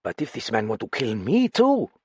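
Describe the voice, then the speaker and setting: Excitable woman in broken English, put on by a male reader, high and pleading, husky edge, dry close sound. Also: normal speed, no room sound. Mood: agitated.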